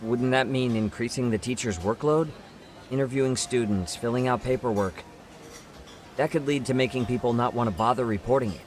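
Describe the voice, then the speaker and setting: An actor playing a practical, questioning detective in a video game dub, speaking in a casual, matter-of-fact way. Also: male voice, medium pitch, a little fast, a little hiss or hum, lightly acted. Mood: casual, doubtful.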